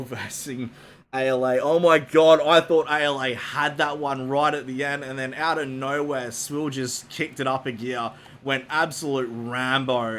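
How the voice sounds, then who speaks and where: A man's voice: mid-range voice, a little hiss or hum. A man chatting casually after a game, rambling with many fillers. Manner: relaxed, rambling with fillers, laughing. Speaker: esports caster. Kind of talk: casual post-match chat.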